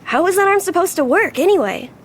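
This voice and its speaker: A polite young restaurant boy, high and bouncy in pitch. He is earnest, naive and wide-eyed, deferring to grown-ups in audio drama style. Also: lively intonation, quick pace, slight background noise. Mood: awed, curious.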